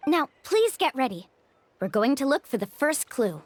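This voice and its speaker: A woman's voice: high voice, big pitch swings, normal speed. A woman voicing a cheerful, confident young adventure guide in a video game, explaining things in an upbeat, playful way. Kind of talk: animated video game character acting.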